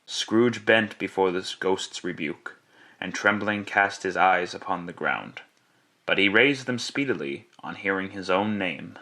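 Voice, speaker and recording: A man's voice: deep voice, measured pace, pushed and bright, full character voice. A man playing the storyteller of a Victorian Christmas tale, reading scripted narration in classic radio style. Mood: measured storytelling.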